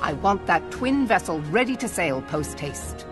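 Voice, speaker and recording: An actress playing a stern, decisive admiral in a fantasy game, authoritative and formal with archaic phrasing. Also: female voice, on the low side, sing-song, unhurried. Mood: commanding. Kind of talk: scripted fantasy game dialogue. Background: music.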